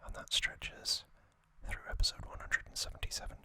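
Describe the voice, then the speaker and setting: An ASMR host whispering a recap in a breathy, unvoiced voice, in short soft phrases with long pauses. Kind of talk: whispered ASMR narration recapping an anime series.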